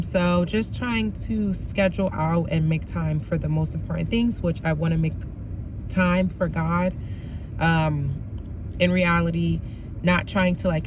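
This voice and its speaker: Woman vlogger, slightly raspy, lowish voice, rambling filler-heavy chat, flavor, car noise behind. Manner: rambling, many ums and likes. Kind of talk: casual vlog chat.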